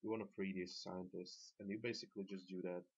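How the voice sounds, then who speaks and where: A man's voice: sits low, little pitch movement, fast, slightly rough, muffled recording. A man whose first language is not English, casually commentating gameplay as a guide, unscripted and correcting himself as he goes. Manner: unscripted, self-correcting, with fillers. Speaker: game guide narrator.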